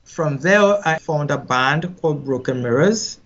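A man's voice: a little high, animated, a bit bright. Reflective Trinidadian man, slightly dull sound. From Trinidad and Tobago. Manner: unhurried, reflective, repeats words as he thinks. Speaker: musician guest. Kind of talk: casual interview storytelling.

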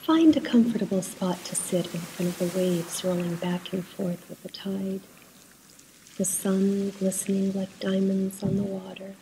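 A woman leading a guided meditation in a soft, at times breathy voice, soothing and unhurried, with pauses between short instructions. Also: medium pitch, fairly even intonation, slight background noise, rough recording.

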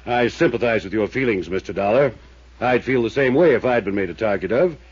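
A man's voice: fairly low pitch, animated, fairly quick, slightly rough. An actor playing an evasive male small-town mayor who speaks in a formal, placating, officious way in a 1950s radio drama. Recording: dull old radio transcription.

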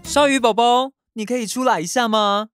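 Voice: mid-range voice, normal speed, a bit bright, close to an everyday voice. An actor playing a caring, cheerful father shark in a Taiwanese Mandarin children's cartoon, playful and coaxing with exaggerated delivery. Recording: children's music behind voice.

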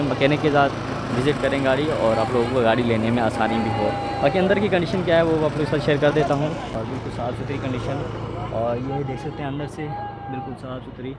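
Male vlogger, brisk walk-around pitch, outdoor market noise. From Pakistan. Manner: brisk salesy patter, questioning sellers. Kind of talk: walk-around car review vlog.

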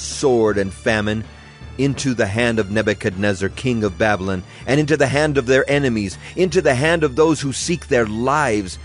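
A man's voice: medium pitch, lightly acted. Man acting a stern, wrathful prophet over music. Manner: solemn, declamatory. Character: stern male Hebrew prophet pronouncing God's wrathful judgment. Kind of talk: dramatized scripture reading.

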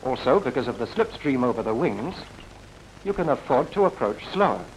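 A man's voice: mid-range voice, big pitch swings, a little fast. A man acting as a clipped, didactic RAF officer in a 1940s instructional film. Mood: assured, instructive. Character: brisk, assured RAF officer explaining procedure. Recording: noisy 1940s film soundtrack.

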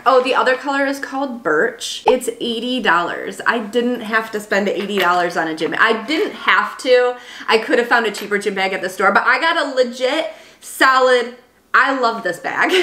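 A woman's voice: on the high side, a bit bright. A woman in her thirties chatting upbeat and gushing as she shows things around her home for a vlog. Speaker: lifestyle vlogger. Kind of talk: casual sponsored vlog chat. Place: home, dining table then rooms. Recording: echoey room at home.

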